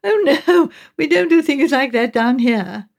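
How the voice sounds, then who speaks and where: A woman's voice: high-pitched, expressive, unhurried, creaky, pitched above the performer's own voice, heavily acted. A woman voicing an affected, self-absorbed middle-aged English mother making languid, condescending small talk in an audiobook. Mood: amused, dismissive.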